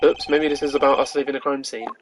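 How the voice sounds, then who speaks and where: A woman's voice: extremely low pitch, flat delivery, fast talker, a little hiss or hum, voice pushed down. A woman voicing an earnest, flustered young male defense attorney in a video game, questioning and a little hesitant.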